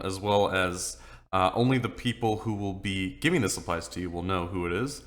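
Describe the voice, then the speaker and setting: Low-voiced man with a crisp, bright edge. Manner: casual, joking, lots of ums and uhs. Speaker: office trainer. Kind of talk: screen-recorded training walkthrough.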